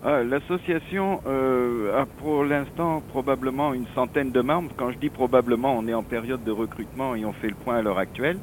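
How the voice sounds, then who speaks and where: A mature man with a French accent from France speaks as an interview guest over the telephone, with faint noise on the line. His explanations are halting and digressive, in a mid-pitched voice. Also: poor audio. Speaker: association president, interview guest. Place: office, on the phone.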